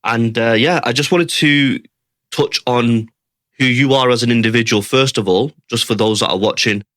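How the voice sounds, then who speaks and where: A man's voice: medium pitch, normal speed, fairly bright tone, no echo, studio quality. A man hosting a podcast interview, asking questions casually with hesitant fillers. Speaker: podcast host.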